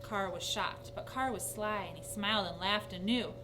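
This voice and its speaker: A woman reading a rhyming children's story aloud in an animated, theatrical way. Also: medium pitch, normal speed. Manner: animated, theatrical with character voices. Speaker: storybook reader. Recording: noisy recording with steady hum.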